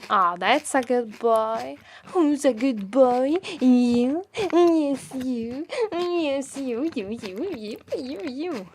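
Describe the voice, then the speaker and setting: Woman acting a slow, haughty Italian-accented demoness. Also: sits high, a little mellow, slight background noise, full character voice. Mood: taunting, mock-sweet. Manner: condescending, drawn-out, taunting delivery. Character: haughty, teasing, menacing female demon master. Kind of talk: ASMR roleplay acting.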